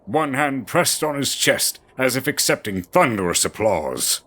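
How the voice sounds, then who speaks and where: A man's voice: mid-range voice, big pitch swings, a little slow, a bit bright. A man reading scripted fantasy story narration as the narrator of an audio drama.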